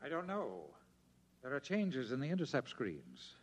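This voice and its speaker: An actor playing an anxious, urgent subordinate alien officer in a 1950s radio drama. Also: male voice, medium pitch, huge pitch swings, moderate pace, husky. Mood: uneasy, alert. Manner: uneasy, urgent. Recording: dull vintage radio recording.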